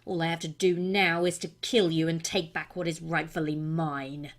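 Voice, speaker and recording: An arrogant, power-hungry fantasy villain acted by a woman speaks with forceful menace. A creaky grain runs through the scornful delivery. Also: sits low, level tone, normal speed. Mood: scornful, menacing.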